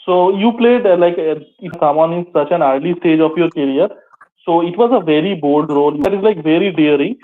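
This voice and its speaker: A man with an Indian accent hosts an informal interview. His voice is a little higher than average, and he restates his questions as he goes. It comes through thin and narrow, like a phone line. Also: brisk. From Kolkata, India. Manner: repeats and rephrases questions, informal. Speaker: interviewer, host. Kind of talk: casual interview. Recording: thin, phone-like, radio-sounding call audio.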